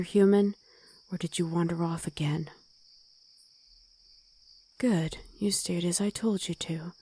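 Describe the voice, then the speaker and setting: Wolf girl played by a woman: guarded, commanding, dark mellow tone, husky edge, ASMR roleplay. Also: lowish voice. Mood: weary, matter-of-fact. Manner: blunt, commanding, gruffly teasing. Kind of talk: ASMR roleplay audio drama.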